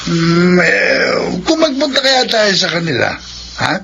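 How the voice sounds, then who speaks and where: A man's voice: sits high, sing-song, unhurried, creaky, sharp tone, exaggerated voice. A man with a Filipino accent playing a shifty, goading thug sidekick in a radio drama, casual and eager. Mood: scheming, casual. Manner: casual, egging on his partner. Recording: muffled lo-fi radio sound, noisy.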